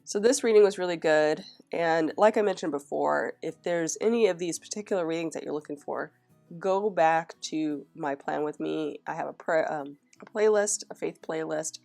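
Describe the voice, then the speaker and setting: A woman's voice: deep voice, normal speed, creaky, darkish tone, faint music behind. A woman narrating a casual flip-through of her planner, her throat scratchy from a cold, chatting along with fillers and restarts. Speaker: planner vlogger.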